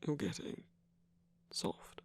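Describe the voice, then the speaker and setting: A performer playing a tender villain comforting a lover in an intimate ASMR roleplay, often whispering in a gentle, coaxing way close to the microphone. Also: slow pace, lightly acted. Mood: soft, probing. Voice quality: breathy, husky, often whispering.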